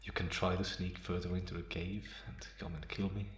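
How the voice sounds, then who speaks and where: A man playing a calm, teasing half-vampire in an ASMR roleplay, pausing often and sounding softly amused and restrained. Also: low-pitched, lightly acted. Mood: cool, detached. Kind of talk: slow ASMR roleplay monologue. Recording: roomy echo, faint background noise.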